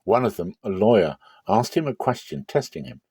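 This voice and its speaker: Low, bright-voiced man reading scripture dramatically. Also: very animated, moderate pace, breathy edge, no room sound. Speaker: Bible reader.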